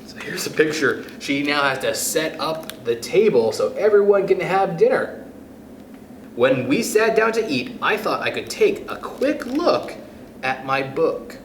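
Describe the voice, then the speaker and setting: Expressive man in an echoey room. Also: fairly high pitch, a little slow. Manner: expressive, addresses young listeners directly. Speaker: children's storytime host. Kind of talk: picture book read-aloud for children. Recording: roomy echo with faint background noise.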